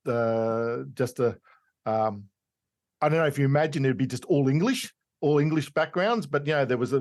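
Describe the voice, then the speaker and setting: Man, podcast host, mid-range voice, chatty and rambling, lots of "you know". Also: mid-range voice. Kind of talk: casual podcast interview.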